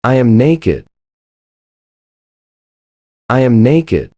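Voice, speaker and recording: Pronunciation model, very slow delivery, lively intonation, each phrase said twice. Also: medium pitch, dry recording, clean studio sound. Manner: says each short phrase twice, carefully.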